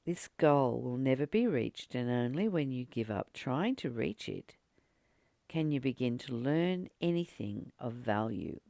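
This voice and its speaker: A woman giving a spiritual teaching, reading and commenting informally in an earnest, deliberate way, with frequent pauses and emphatic repetition. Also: rumbling low, animated, gravelly, warm tone, no echo, studio quality. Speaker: spiritual teacher and channel host.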